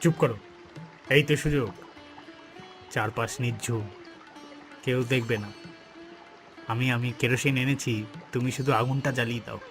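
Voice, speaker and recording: A man's voice: mid-range voice, steady tone, moderate pace, long pauses, over music, natural voice. A man voicing a jealous, scheming elder brother in a cartoon, conspiratorial and menacing. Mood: furtive. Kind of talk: cartoon voice acting.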